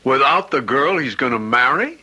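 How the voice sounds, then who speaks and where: A man's voice: mid-range voice, animated, moderate pace, pushed and bright, husky, full character voice. An actor playing a concerned hospital chaplain in a radio drama, reasoning and probing as he persuades.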